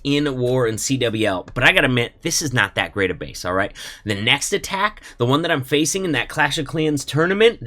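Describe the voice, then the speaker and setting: A man with a head cold and a sniffly voice, giving relaxed, chatty commentary over his own game attacks. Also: medium pitch. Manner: relaxed, chatty, says "man" and "all right?" often. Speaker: gaming YouTuber. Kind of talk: casual gameplay commentary.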